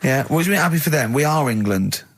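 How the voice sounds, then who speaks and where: Man, husky voice, middling pitch, dry studio sound, mocking teasing comic banter. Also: lively intonation, fast talker, dry recording. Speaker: radio show host.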